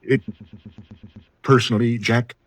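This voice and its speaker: A man's voice: medium pitch, fairly even intonation, takes it slowly, no echo, lightly acted. A man acting a timid, fussy frontier hotel keeper in a 1950s radio western, faltering nervously. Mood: nervous, pleading. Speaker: radio actor.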